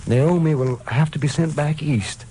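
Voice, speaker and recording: An actor playing a suffering, desperate man in a hospital bed, grave, halting and intense, in old radio drama style. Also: fairly high pitch, mellow, natural voice. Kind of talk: 1950s radio drama acting. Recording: noisy old radio recording.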